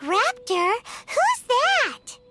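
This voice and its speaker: A woman voicing a tiny, excitable, childlike fairy companion in a video game, with a squeaky, bubbly delivery. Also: drawn-out words, full character voice. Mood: startled, puzzled. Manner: bubbly, refers to herself in third person. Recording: game music behind the voice.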